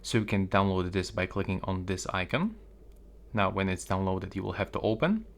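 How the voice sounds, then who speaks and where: A man's voice: deep voice, animated, moderate pace. A man presenting a step-by-step phone tutorial.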